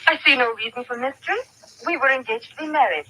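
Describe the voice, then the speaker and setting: An actress playing a proud, grieving young fiancée, earnest and dramatic, in an old-time radio drama. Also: female voice, high-pitched, fast talker, bright, forceful tone. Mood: earnest, resolute. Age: young adult. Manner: earnest, dramatic, well-spoken. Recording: thin, noisy vintage radio recording.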